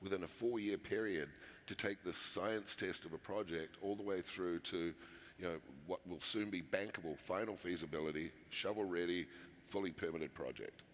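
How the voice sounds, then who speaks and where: A man's voice: low voice, normal speed. A man with a New Zealand accent, a company founder talking casually in an interview, with frequent fillers and restarts. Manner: conversational, many fillers and restarts. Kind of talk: conference interview. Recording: long hall reverb.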